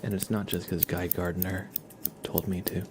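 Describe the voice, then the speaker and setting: A man livestreaming ASMR, soft-spoken and playful, slipping between a murmur and a whisper as he chats and roleplays. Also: low-pitched, level tone, normal speed, noisy background. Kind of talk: ASMR live chat with roleplay, partly whispered. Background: scissor sounds.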